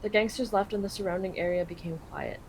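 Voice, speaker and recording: A young woman reads and translates a game aloud in a casual, informal way. Her voice sits at a middling pitch. There is clear background noise on the recording. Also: fairly even intonation, quick pace. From Canada. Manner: informal, swearing, paraphrasing. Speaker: live translator on a game play-through. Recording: clearly noisy home recording.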